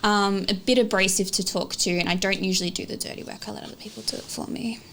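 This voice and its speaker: Mid-pitched woman, faintly noisy recording. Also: steady tone, quick pace, creaky, fairly bright tone. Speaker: tabletop role-play player. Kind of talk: casual character introduction.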